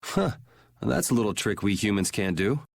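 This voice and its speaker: A man's voice: lowish voice, expressive, brisk, vocal fry, husky, no echo, clean studio sound, exaggerated voice. An actor playing a casual, cool-headed young swordsman in a game, with a wry, laid-back manner. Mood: curious, wry. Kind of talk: English game dub acting.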